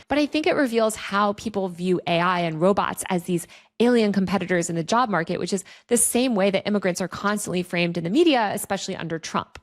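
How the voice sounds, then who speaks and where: Female host, mid-range voice, opinionated and conversational video commentary. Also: medium pitch. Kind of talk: video essay commentary on online culture.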